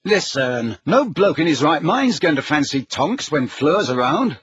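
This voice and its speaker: A man's voice: fairly high pitch, very animated, a little slow, a bit bright, breathy edge, no echo, highs cut off, voice pushed up, heavily acted. A man voicing a blunt, sarcastic teenage boy with a slangy, dismissive manner in an audiobook story.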